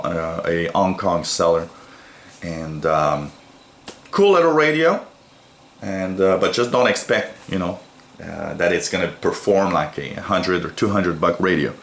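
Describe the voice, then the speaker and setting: A man with a lowish voice talks through a casual, unscripted review. He explains things plainly and repeats his points. The room adds clear echo, with a little noise behind him. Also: fairly bright tone. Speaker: product reviewer. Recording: echoey room with faint background noise.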